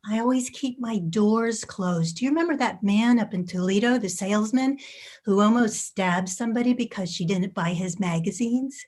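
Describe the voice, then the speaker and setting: A woman's voice: mid-range voice, moderate pace, darkish tone, lightly acted. A woman playing a chatty, suspicious but hospitable suburban housewife in a staged play reading. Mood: hospitable, wary. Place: video call.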